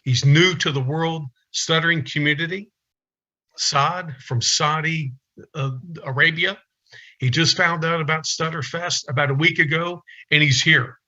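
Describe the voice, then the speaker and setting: A man's voice: on the high side, fairly even intonation, measured pace, pushed and bright. Upbeat man introducing a speaker. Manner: upbeat, welcoming. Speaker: event host. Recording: dull sound with faint music.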